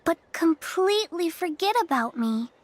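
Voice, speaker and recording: A woman's voice: extremely high pitch, lively intonation, normal speed. A woman playing a frail, frightened young girl in a video game, timidly confiding, with music underneath. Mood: timid, sad. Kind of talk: video game dialogue acting.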